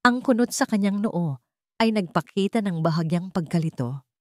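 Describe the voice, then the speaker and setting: A woman's voice: fairly low pitch, animated, moderate pace, darkish tone, no room sound, studio quality. A woman storyteller reading dramatic scripted narration.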